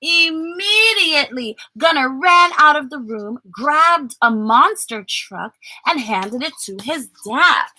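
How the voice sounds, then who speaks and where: Animated, high-voiced woman reading to kids. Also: slow pace, dry recording, rough recording. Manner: expressive, exaggerated, coaxing children with questions. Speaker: teacher and read-aloud host for children. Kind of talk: animated picture-book read-aloud with audience questions.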